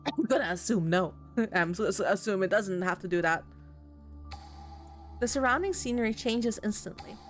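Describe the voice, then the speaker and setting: A woman's voice: mid-range voice, animated, moderate pace, soft background music. A woman reading a visual novel aloud as she plays, narrating expressively and breaking into casual, exclaiming commentary. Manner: reactive, exclaiming, casual swearing.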